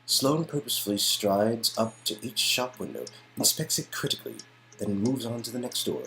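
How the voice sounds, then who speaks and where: A man narrates an interactive story in scripted second person. His voice is medium in pitch, with music playing underneath. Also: normal speed, creaky, bright, forceful tone, low-quality recording. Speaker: narrator of a story game reading.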